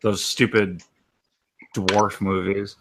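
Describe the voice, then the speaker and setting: Gravelly, low American man. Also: level tone, slow pace, a bit bright, slight background noise. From United States. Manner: hesitant, rambling. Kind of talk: casual group chat.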